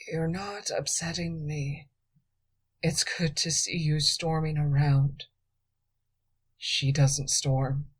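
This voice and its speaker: A woman voicing an exhausted, wounded young male thief who speaks in a faint, slow whisper. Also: deep voice, fairly even intonation, slightly rough, full character voice. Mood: tired, quiet.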